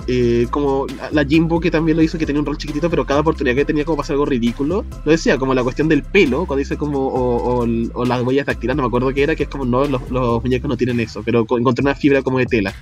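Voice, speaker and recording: A man's voice: medium pitch, steady tone, very fast, few pauses, a little mellow, faint music behind. A man with a Chilean accent, co-hosting a podcast in casual chat, chatty and laughing often as he drops slang. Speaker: podcast co-host.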